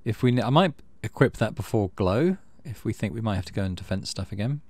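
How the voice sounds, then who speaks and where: A middle-aged man hosting a let's play, reading game text aloud and thinking out loud as he plays. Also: on the low side, lively intonation, fast talker, no room sound. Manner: thinks aloud while playing.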